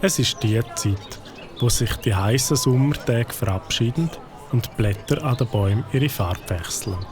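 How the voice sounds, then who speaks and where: Swiss German male narrator, calm storybook read, music bed. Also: mid-range voice, normal speed.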